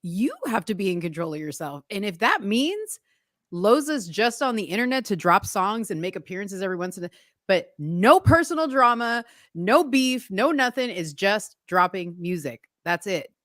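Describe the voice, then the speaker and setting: A woman's voice: medium pitch, very animated, normal speed, fairly bright tone, dry recording, studio quality. A woman hosting a podcast, talking frankly and probing her guest, stretching words for emphasis. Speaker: podcast host. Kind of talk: direct podcast conversation.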